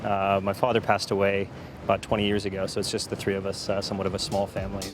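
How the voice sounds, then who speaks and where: A man's voice: low-pitched, completely flat delivery, fast, music in the background. A man from Canada giving considered, slightly hesitant answers to an interviewer on a city street. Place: outdoors in a city. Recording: clear outdoor urban traffic noise.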